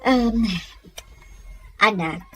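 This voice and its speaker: A woman playing a gentle, worrying provincial mother in an Ilocano comedy drama. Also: a little high, very slow, heavily acted. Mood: tender, concerned.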